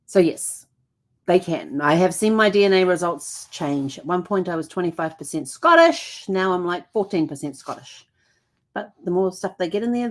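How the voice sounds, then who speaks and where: A woman hosting a genealogy show, explaining things casually with frequent ums, restarts and self-corrections. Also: lowish voice.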